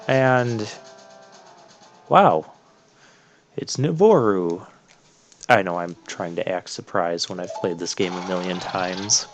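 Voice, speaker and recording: A man hosts a video game playthrough with casual commentary. He speaks at an unhurried, slow pace, and game music plays clearly behind his voice. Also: deep voice, fairly even intonation, fairly bright tone.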